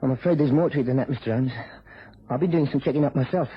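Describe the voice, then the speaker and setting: An actor playing an anxious, earnest young English police constable in a vintage radio drama, hesitant and deferential. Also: male voice, medium pitch, quick pace, husky. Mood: worried. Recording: muffled, noisy old radio recording.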